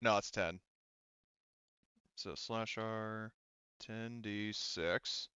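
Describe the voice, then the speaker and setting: A man's voice: lowish voice, steady tone, drawn-out words, pushed and bright, smooth, dull audio. A man running a tabletop roleplaying game as game master, hesitantly thinking aloud as he works through the rules in a casual way.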